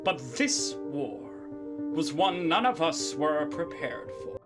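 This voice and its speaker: A man playing a grim, world-weary soldier, delivering a halting, brooding dramatic monologue about war. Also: on the high side, animated. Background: music, guitar.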